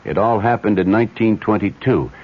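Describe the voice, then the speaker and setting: A man's voice: fairly low pitch, brisk. A man delivering a chatty, genteel radio promo with some hesitant ad-libbing, on a dull 1970s broadcast. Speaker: radio host.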